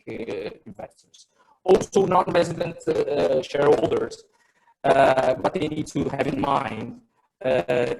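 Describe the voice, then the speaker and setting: A man's voice: mid-range voice, gravelly, darkish tone, poor audio. A man with a Portuguese accent giving a business presentation over a web call, hesitant and often repeating words and phrases. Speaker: investment webinar presenter. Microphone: computer on a web call.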